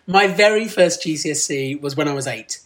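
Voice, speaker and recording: A man's voice: fairly high pitch, a little fast. Laughing, chatty man in his forties. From Kent, England. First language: Punjabi. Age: 40s. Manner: laughs often, lively storyteller. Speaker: guest. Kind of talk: casual interview chat.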